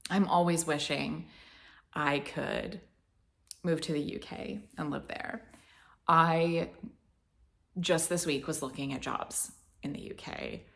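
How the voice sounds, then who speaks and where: A woman's voice: sits low, steady tone, takes it slowly, vocal fry, pauses often, fairly bright tone. A woman from Florida with a light Southern accent, rambling casually to camera with many restarts. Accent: American Southern. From Florida, United States. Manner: meandering, with many restarts and fillers. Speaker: vlogger.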